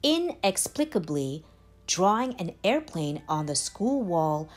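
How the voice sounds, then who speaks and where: A woman reading a children's story aloud in a deliberate, expressive way with dramatic pauses. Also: fairly low pitch. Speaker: storybook reader, channel host. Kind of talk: children's read-aloud, scripted narration. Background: background music.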